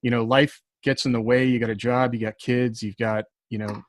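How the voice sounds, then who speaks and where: A man's voice: mid-range voice, level tone, moderate pace, no room sound, clean studio sound. A man talking casually on a writers' panel, giving advice in an earnest, encouraging way. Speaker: science fiction writer on a podcast panel.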